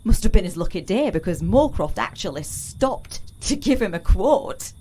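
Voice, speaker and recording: A woman's voice: medium pitch, very animated, moderate pace, mellow. A woman playing a dry, disdainful local townswoman in an audio drama, with a sardonic way of talking. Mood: wry storytelling.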